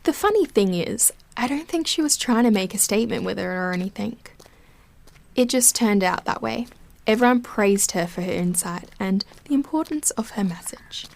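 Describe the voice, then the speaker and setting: A woman's voice: medium pitch, expressive, normal speed, creaky, darkish tone. An actress playing a guarded, matter-of-fact young woman in an audio drama, speaking casually. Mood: reminiscing.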